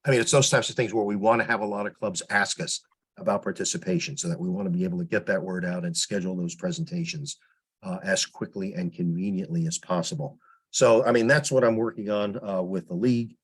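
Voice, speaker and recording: A man giving an informal report on a teleconference as a public relations volunteer, explaining things in a halting, meandering way. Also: sits low, husky. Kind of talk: informal spoken report in online meeting. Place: teleconference call.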